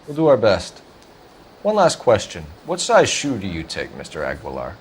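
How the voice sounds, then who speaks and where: Clipped American 1940s police detective, swinging intonation. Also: male voice, on the low side, huge pitch swings, moderate pace, husky, full character voice. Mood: businesslike. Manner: clipped, businesslike, insistent. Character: stern, principled male 1940s police detective. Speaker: voice actor in a detective video game. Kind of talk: scripted dramatic game acting, police questioning.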